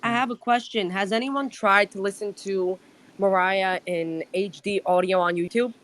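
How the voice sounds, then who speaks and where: A woman from Brooklyn gushes in a casual fan chat. Her voice sits mid-pitched. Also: steady tone, moderate pace, a bit bright. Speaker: fan on a group call.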